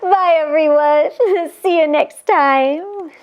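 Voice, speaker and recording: A woman doing an animated read-aloud for children, exclaiming, chuckling and talking directly to her young listeners. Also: high-pitched, takes it slowly, dull audio. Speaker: children's storybook reader and channel host.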